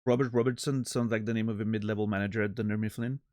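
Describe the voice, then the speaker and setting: A man's voice: fairly low pitch, fairly even intonation, racing, a little mellow, dry recording, clean studio sound. Rambling, joking male streamer. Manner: rambling, self-interrupting, joking. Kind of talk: casual chat with live chat.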